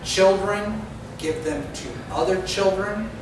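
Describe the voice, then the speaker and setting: A man gives an instructive talk to a room, speaking straight to his listeners in a coaching tone. His voice sits high. It rings with heavy echo and carries from a distance over clear background noise. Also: slow. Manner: instructive, coaching tone with direct address. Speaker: speaker giving a club talk. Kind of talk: presentation to an audience. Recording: echoey hall from far off with noise.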